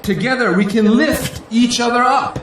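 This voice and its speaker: An actor playing an exuberant, grandiose newly elected politician in an audio drama, with an excitable, speechifying manner. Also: male voice, very high-pitched, normal speed, a little hiss or hum. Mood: rousing.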